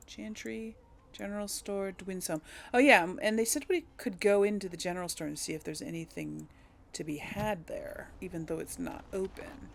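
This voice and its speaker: A woman giving casual game commentary as a let's play host, chatting and thinking aloud. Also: mid-range voice. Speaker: let's play host, role-playing a character.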